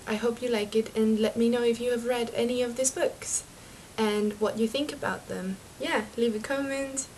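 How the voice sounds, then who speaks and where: A young woman from Venezuela, chatty and excitable, talking to her webcam about books in a small bedroom. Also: on the high side, normal speed, a little hiss or hum, low-quality recording. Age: young adult. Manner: chatty and excitable, laughs at her own slips. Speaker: book vlogger. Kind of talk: casual chat to camera. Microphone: webcam mic.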